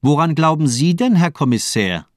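An actor playing a cold, cynical doctor villain in a radio crime drama, speaking eloquently with mocking elegance. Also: male voice, on the high side, lively intonation, no echo, studio quality, lightly acted. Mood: mocking curiosity. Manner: eloquent, playful, mocking, deliberate monologuing.

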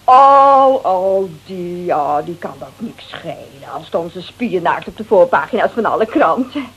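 A woman's voice: lowish voice, animated, a little slow, slightly rough, muffled recording. An actress in a radio play, playing a brash, coarse-tongued married woman confessing under threat in hesitant, colloquial speech. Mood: flustered, defensive. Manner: hesitant, colloquial, peppered with little tags. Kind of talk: Dutch radio play dialogue.

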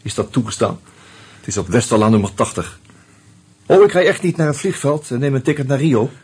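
An actor playing an uneasy murder suspect in a radio play, musing and hesitant, with a wry streak. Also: male voice, medium pitch, expressive, brisk, darkish tone, breathy edge, dry recording. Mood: wary, hesitant. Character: uneasy male murder suspect narrating his own investigation. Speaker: actor and first-person narrator. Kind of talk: Dutch radio play, reflective narration and dialogue.

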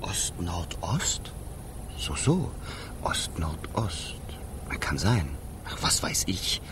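A man's voice: deep voice, very animated, drawn-out words, in a whisper, heavily acted. An actor playing a boastful, carefree, scatterbrained male wind spirit in a children's radio play. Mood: puzzled muttering. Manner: blustery, singsong bragging, muttering when puzzled.

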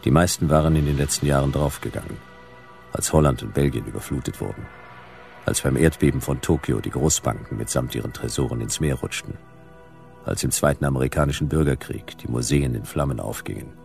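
Sardonic noir detective, rock-bottom low voice, music going behind him. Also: male voice, brisk, darkish tone. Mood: grim, weary. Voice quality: gravelly. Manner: laconic, dry, world-weary. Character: hard-boiled, sardonic male private detective in dystopian future. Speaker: narrator and lead character. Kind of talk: noir radio drama narration.

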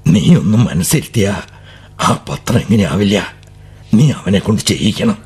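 A man's voice: lowish voice, animated, a little slow, darkish tone, slightly rough, heavily acted. A proud, stern old father. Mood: commanding. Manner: harsh, commanding, breaks into sobbing rage. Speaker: actor in radio drama. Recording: old AM radio broadcast.

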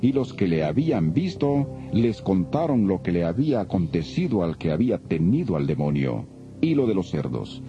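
A man narrating scripture in a solemn, expressive, dramatic storytelling style. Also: low voice, moderate pace. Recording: dull audio with music bed.

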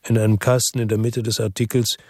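An actor playing a weary, brooding middle-aged narrator in a radio drama, speaking in a somber, measured, reflective way. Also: male voice, lowish voice, level tone, darkish tone. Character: weary, brooding middle-aged male first-person narrator, world-worn investigator.

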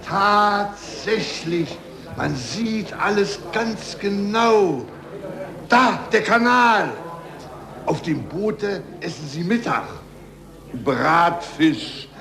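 An actor playing a self-indulgent, easily amused Venetian dignitary in a leisurely drawl, in a 1940s radio play. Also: male voice, high voice, lively intonation, very slow, gravelly, fairly bright tone, heavily acted. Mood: idly delighted. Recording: echoey old radio recording with crowd.

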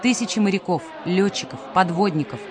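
Low-voiced solemn woman narrator. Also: a little fast, background noise. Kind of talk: scripted documentary narration. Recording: music under the voice.

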